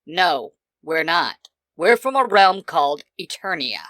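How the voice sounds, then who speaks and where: A man voicing a steady, reassuring fatherly guardian from a fantasy realm in an audio story, with measured delivery. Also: fairly high pitch, no echo, voice pushed up, exaggerated voice. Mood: grave.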